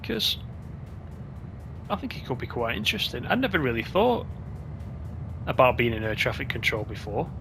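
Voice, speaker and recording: A man provides casual commentary for a gaming video, chatting and thinking aloud as he plays. His pitch is middling for a man. Clear game music runs behind his voice. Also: sing-song, moderate pace, long pauses.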